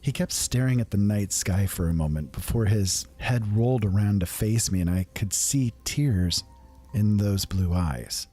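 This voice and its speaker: A man telling a first-person fantasy story in a calm, unhurried, conversational way. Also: fairly low pitch, soft background music.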